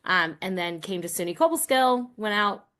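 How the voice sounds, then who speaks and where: Middle-aged American woman explaining, mid-pitched. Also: animated, normal speed, a bit bright. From Rhode Island and Massachusetts, United States. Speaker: guest, college professor and team coach. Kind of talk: conversational explanation.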